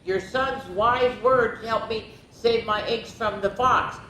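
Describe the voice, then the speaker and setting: A woman voicing an excitable, fretful mother robin from a children's storybook, urgent and exclaiming. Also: on the high side, takes it slowly, pitched above the performer's own voice. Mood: excited. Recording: roomy echo with faint noise.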